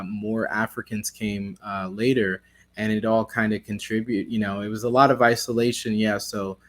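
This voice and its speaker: Young guy, lowish voice, guest in a chat, lots of um and you know. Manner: hesitant, many fillers. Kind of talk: casual interview.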